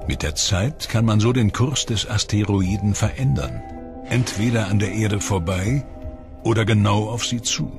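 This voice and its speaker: A man's voice: fairly low pitch, normal speed. A man reading scripted narration for a science documentary. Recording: music under the voice. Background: background music.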